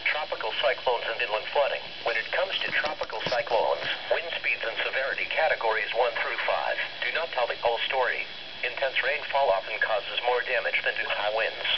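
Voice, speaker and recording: A man's voice: mid-range voice, lively intonation, fairly quick. A man with a formal, synthetic-sounding delivery reading scripted public information as a weather radio announcer. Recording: noisy, muffled weather radio broadcast.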